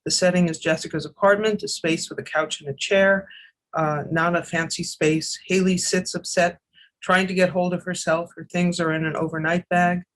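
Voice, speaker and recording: A man reading stage directions in a plain, even way. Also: high voice, normal speed, rough recording.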